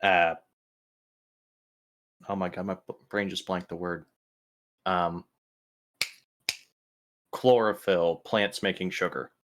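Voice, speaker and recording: A man's voice: low voice, steady tone, takes it slowly, pauses often, slight background noise. A man bantering casually during a tabletop roleplaying game session.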